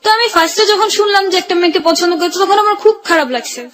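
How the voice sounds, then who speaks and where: A woman's voice: very high-pitched, rapid-fire, fairly bright tone. A woman with a Bangladeshi accent telling her own story conversationally, re-enacting remembered exchanges as dialogue.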